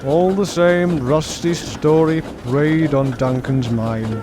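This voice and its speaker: A man's voice: a little high, slow pace, music in the background. A man reading scripted, dramatic narration as the storyteller of a children's audio drama.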